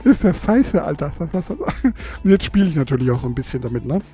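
A young man chats loosely into his podcast mic and lisps noticeably through a gap in his teeth. His voice sits a little high but has a dark, mellow tone. He laughs often and jokes about himself, with faint music underneath. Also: animated, fast talker. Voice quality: lisp from a tooth gap. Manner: chatty, laughs often, self-mocking. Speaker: podcast host. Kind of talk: casual solo podcast chat. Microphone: studio microphone.

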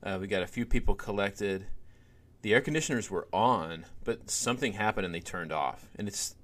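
Lively low-voiced man telling a story. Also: normal speed. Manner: animated storyteller, many fillers. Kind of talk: conversational podcast storytelling.

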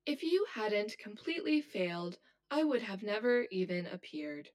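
A woman's voice: mid-range voice, lively intonation, moderate pace, close to an everyday voice. An actress playing a cold female inner double in an audio drama, precise, clinical and condescending. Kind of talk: scripted audio drama acting.

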